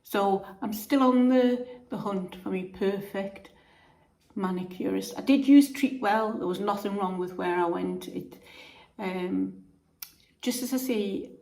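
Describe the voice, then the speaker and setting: A woman with a croaky voice from being unwell, chatting to camera in a homely, rambling way with frequent restarts. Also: mid-range voice, a little slow, a little mellow. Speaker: vlogger. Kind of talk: casual chat to camera. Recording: echoey room.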